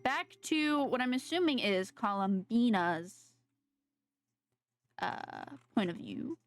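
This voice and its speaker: A woman's voice: on the high side, animated, slow pace, faint music behind. A woman streamer giving casual live reactions while she plays a game.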